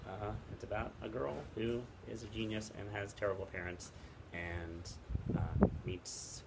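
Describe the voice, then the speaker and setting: Halting lowish-voiced man in very loud wind. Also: vocal fry. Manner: halting, hesitant, pausing often to think. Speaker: book recommender, teacher. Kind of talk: casual book recommendation chat. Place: outdoors in the wind. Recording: very loud wind noise, clicks, outdoor video.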